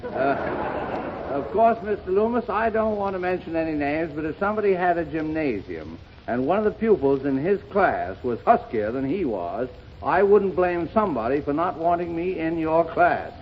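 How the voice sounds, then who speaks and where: A man's voice: a little high, sing-song, a little hiss or hum. A blustering boastful fat man. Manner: blustering, quick punchlines. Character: boastful out-of-shape man, bragging and self-deprecating. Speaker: comic guest. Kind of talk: 1930s radio comedy sketch. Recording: muffled old radio broadcast with studio audience. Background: studio audience laughter.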